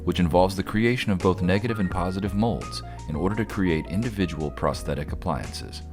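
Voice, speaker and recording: A man reading scripted promotional narration in a measured, formal way. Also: low voice. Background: music under the voice.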